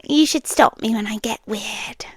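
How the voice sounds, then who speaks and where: A British woman playing a shy, obsessive yandere girlfriend in an intimate ASMR roleplay, soft, breathy and hesitant, often slipping into a whisper. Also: a little high, no echo, clean studio sound. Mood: dreamy, obsessive. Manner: slow, hesitant, confiding. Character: shy, obsessive young yandere girlfriend, sweetly intense and nervous.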